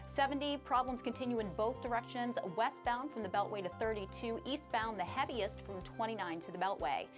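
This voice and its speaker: A woman's voice: a little high, brisk, few pauses. A woman giving a live broadcast traffic report on television. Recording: music bed behind the voice.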